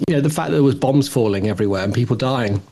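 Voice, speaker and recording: British male, middle-aged, podcast co-host, loose rambling conversational delivery with mid pitch. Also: rapid-fire, dark tone. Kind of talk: casual chat about television.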